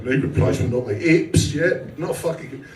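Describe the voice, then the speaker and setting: Animated comedian, roomy club sound. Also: male voice, a little high, normal speed. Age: 40s. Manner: sweary, blunt, chatty, self-deprecating. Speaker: stand-up comedian. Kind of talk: live stand-up comedy with crowd banter. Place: small indoor comedy venue with a live audience. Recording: roomy live club, distant mic, audience noise.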